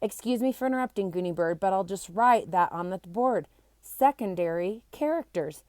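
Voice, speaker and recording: A woman voicing a kindly but firm schoolteacher in a storybook, polite and brisk. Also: mid-range voice, lively intonation, darkish tone, no room sound, lightly acted.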